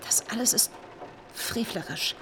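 An actress in a German radio drama playing a virtuous married bourgeois lady, measured, reserved and coolly moralising. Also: a bit bright, a little hiss or hum, close to an everyday voice. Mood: restrained, firm.

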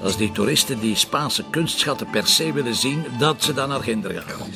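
A man's voice: medium pitch, animated, moderate pace, gravelly, over music. An actor with a Flemish accent playing a grumbling, sceptical police chief and harried father in an audio drama. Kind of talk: naturalistic radio drama dialogue.

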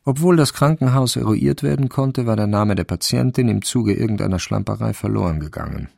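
A man narrating a radio drama from a literary script in a measured, wry manner. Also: lowish voice, creaky, darkish tone, dry recording, clean studio sound.

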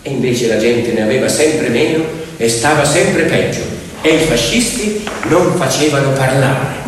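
A man telling stories theatrically, in an earthy, colloquial and animated way. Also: medium pitch, normal speed. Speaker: stage storyteller and actor. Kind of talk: theatrical storytelling with music, two-hander. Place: large reverberant performance space, distant mic. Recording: echoey hall, distant, loud music underneath. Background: loud music.

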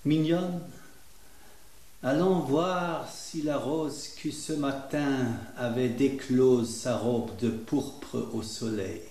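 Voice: mid-range voice, slow, warm tone. An actor voicing an aristocratic dessert spoon in a radio drama, dramatic and pompous in manner. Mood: lofty. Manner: theatrical, pompous, quoting French poetry. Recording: roomy sound with faint noise.

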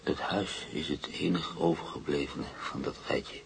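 A troubled man reliving wartime memories in a radio play. His voice is very deep and creaky, and he speaks slowly in brooding, broken fragments, over faint hiss. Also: fairly even intonation, heavily acted. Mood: brooding, haunted. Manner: halting, brooding delivery in short fragments. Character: troubled male patient reliving frightening wartime memories under sedation. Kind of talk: Dutch-language radio play acting.

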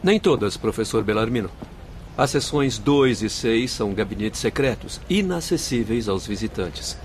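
A man's voice: fairly low pitch, expressive, moderate pace, close to an everyday voice. An actor playing an affable, courteous male spirit guide in a radio drama, with formal, genial speech. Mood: informative. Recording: faint outdoor-like background noise.

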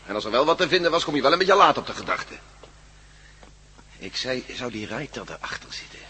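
An actor playing an irritable, sardonic prisoner in a radio drama, sarcastic and impatient. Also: male voice, mid-range voice, big pitch swings, a little fast, bright, forceful tone, slightly rough, heavily acted. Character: irritable, sardonic male prisoner, practical American.

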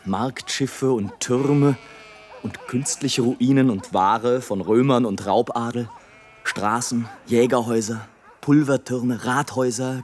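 An actor playing a rapturous, scornful airship balloonist in a theatrical, declamatory radio play monologue, swinging between grand exultation and hush. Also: male voice, medium pitch, close to an everyday voice. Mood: marvelling. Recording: studio radio play with music.

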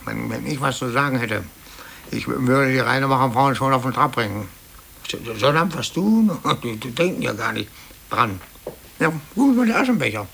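Grumpy, creaky older office clerk. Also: male voice, medium pitch, expressive, normal speed, a bit bright. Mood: grumbling. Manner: grumbling, muttering, sarcastic. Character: grumpy, aggrieved older male office clerk with stomach trouble. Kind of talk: 1950s German radio play acting. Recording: hissy old cassette copy of radio broadcast.

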